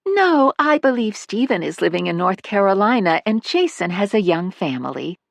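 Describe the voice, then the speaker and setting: A woman voicing a fussy older woman who dotes on her cats, speaking shrilly and high. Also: sing-song, normal speed, gravelly, no echo. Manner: shrill and high, frantic when upset. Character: fussy older woman, doting cat lady.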